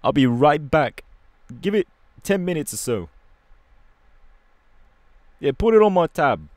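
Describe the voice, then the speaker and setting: A man voicing a cocky, laid-back young punk superhero with a London accent, slangy and halting, in an ASMR audio roleplay. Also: a little high, big pitch swings, a little mellow, no room sound. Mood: grudging, casual. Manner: casual, slangy, halting, irreverent.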